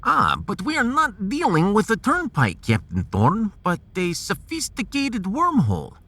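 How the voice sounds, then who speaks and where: A man's voice: sits high, wildly swooping pitch, fairly bright tone, pitched above the performer's own voice, heavily acted. A man voicing a fussy, gloomy Russian science officer in a sci-fi audio drama, with a Russian accent and pedantic manner. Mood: explaining. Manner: pedantic, tag questions, drawn-out phrasing.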